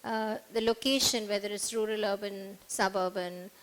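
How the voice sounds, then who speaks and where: A middle-aged woman speaks into a podium microphone at a conference. She works methodically through a list of points, often pausing on fillers. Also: mid-range voice, fairly even intonation, fairly bright tone, poor audio. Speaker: school counselor. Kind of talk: conference presentation. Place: hotel conference room, at a podium.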